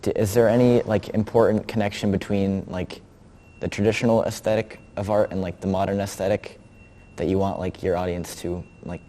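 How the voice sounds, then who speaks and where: A teenage boy interviews an artist in a gallery, speaking in a somewhat low voice. He asks his questions hesitantly, stacking up "um" and "like" as he goes. A long reverb hangs in the room around him. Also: fairly even intonation, a little fast, dark tone. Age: teens. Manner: hesitant, many "um" and "like" fillers. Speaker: teen interviewer.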